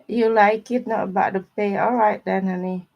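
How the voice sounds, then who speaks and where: A woman's voice: medium pitch, fairly even intonation, moderate pace, creaky, muffled recording. A woman with informal, non-native English phrasing, chatting casually with viewers as she gives a live tarot reading. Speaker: tarot reader hosting a live stream.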